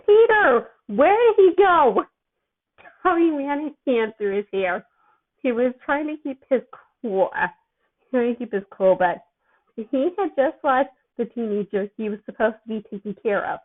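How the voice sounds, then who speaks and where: A woman narrating a story expressively as an amateur reader. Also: a little high. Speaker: amateur fan fiction reader. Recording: thin, phone-like narrow-band sound.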